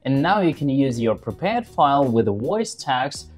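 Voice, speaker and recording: Young man presenting with lively, swinging intonation. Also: mid-range voice, moderate pace, faint music behind. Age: 20s. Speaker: tutorial presenter. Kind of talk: scripted tutorial narration to camera.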